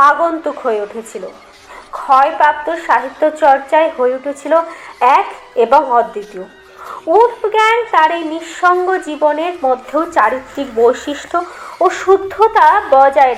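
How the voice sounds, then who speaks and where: High-pitched woman narrating a story. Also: low-quality recording. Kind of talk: scripted audiobook narration of a horror story.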